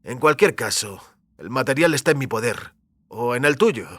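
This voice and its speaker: Un actor interpreta a un extécnico fugitivo, decidido y calculador, en una audioficción, con habla segura y pausada. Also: male voice, medium pitch, animated, a bit bright, no echo. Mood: confident. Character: Jennings, determined scheming former technician on the run. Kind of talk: science fiction audio drama dialogue.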